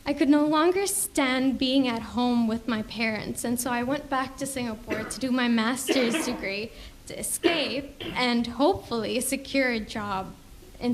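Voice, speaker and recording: A young woman gives a sincere, earnest testimony before her church. Her voice sits high and light. A faint murmur of room sound is heard behind her. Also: slight background noise. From Indonesia. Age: young adult. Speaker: church member giving testimony. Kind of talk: personal testimony speech. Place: church service.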